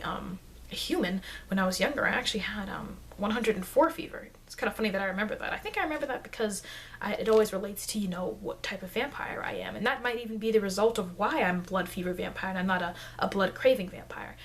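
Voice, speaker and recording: Soft-spoken rambling vampire played by a woman, noisy mic. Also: lightly acted. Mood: earnest, reminiscing. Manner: hesitant, rambling, soft-spoken with frequent murmured asides. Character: friendly, rambling female vampire explaining vampire illnesses. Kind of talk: ASMR roleplay, soft-spoken improvised explaining. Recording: noisy low-grade mic with clicks.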